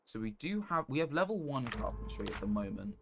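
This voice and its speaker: A man streaming a game live, giving casual commentary in a halting, rambling way as he thinks aloud. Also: medium pitch, sing-song. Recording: noticeable background noise.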